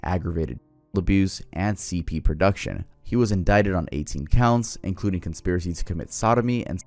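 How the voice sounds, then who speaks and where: A man hosting a video, narrating true crime in a soothing voice with a relaxed, lightly joking manner. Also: sits low, brisk, dry recording, soft background music. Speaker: YouTube host.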